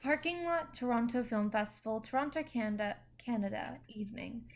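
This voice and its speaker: A woman's voice: fairly high pitch, animated, fairly quick. A woman reading out stage directions as scripted narration for a play.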